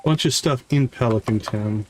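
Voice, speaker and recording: A man's voice: medium pitch, moderate pace, a little mellow. Man casually narrating gameplay, noisy background. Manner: informal, thinking aloud. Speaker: game streamer, host. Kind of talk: casual live gameplay commentary, explaining as they play.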